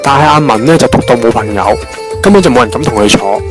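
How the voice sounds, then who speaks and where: A man's voice: medium pitch, lively intonation, quick pace, music in the background, lightly acted. A man playing a wisecracking young joke-teller who rambles along in an amateur radio drama, with a Hong Kong Cantonese accent. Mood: jokey storytelling. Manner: rambling, jokey storytelling. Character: wisecracking young man, long-winded teller of absurd jokes.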